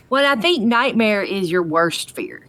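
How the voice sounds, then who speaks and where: A woman with a medium-pitched voice co-hosts a fan podcast. She is informal and conversational, moving between recap and casual opinion. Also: lively intonation, takes it slowly, a bit bright. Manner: informal, uses y'all. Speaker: podcast co-host.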